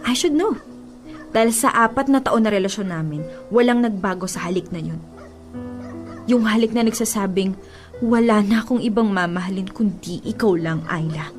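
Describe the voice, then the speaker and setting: A woman's voice: medium pitch, normal speed, natural voice. Woman acting a defiant, jealous young ex-girlfriend, Filipino accent. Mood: boastful, intense. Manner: confrontational, emphatic, taunting. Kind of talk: radio drama acting in mixed Tagalog and English. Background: music.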